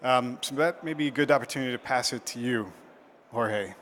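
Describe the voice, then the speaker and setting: A man's voice: mid-range voice, brisk, a bit bright, slight background noise. A man with a mid-range voice moderates a panel at a conference. He speaks in an easygoing, loose way, with faint ambience behind him.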